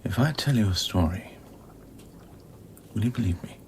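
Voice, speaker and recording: An actor playing a wry, probing, world-weary scientist in a radio play, speaking in a dry, measured, confiding way. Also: male voice, fairly low pitch, lively intonation, darkish tone, slight background noise, close to an everyday voice.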